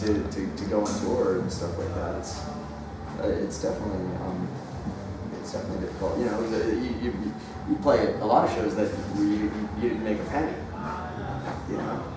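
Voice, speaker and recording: Man band interviewee, halting speech, recorded far off with heavy room noise. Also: lowish voice, steady tone. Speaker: band member. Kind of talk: casual interview chat. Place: backstage lounge of a music venue. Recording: echoey room from far off, noisy.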